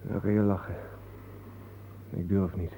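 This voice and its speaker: A man's voice: sits low, little pitch movement, unhurried, gravelly, mellow, a little hiss or hum. An actor playing a blunt, shaken man on edge after a nightmare, speaking colloquially and hesitantly in a radio play. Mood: irritable, defensive. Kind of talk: Dutch radio play acting. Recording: thin phone-like old radio play recording.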